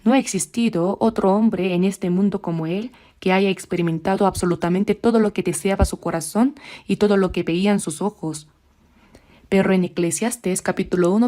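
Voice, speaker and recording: A woman delivers a sermon in a warm, mellow voice. She speaks at a measured pace and draws out the scripture passages slowly. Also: medium pitch. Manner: measured, slows down for scripture readings. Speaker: preacher. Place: church.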